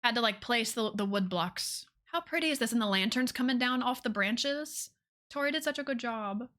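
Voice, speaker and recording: A woman with a fairly high, very bright voice hosts a casual game livestream. She talks informally and gushes with enthusiasm, often repeating phrases and addressing her viewers as y'all. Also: normal speed. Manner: gushing, enthusiastic.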